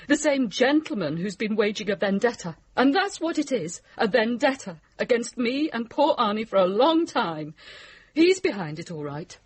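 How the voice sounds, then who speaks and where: A composed but indignant widow in a radio drama. Her voice is somewhat high and husky, with very lively intonation and a sharp tongue. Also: moderate pace, dry recording. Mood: indignant, scornful.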